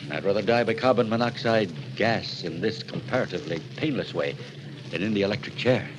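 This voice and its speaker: An actor playing a resigned, fatherly elderly gentleman with a solemn, theatrical delivery in a 1940s radio mystery. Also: fairly low pitch, brisk, natural voice. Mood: weary resignation. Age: older. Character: weary, resigned elderly gentleman welcoming death. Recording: noisy old radio recording.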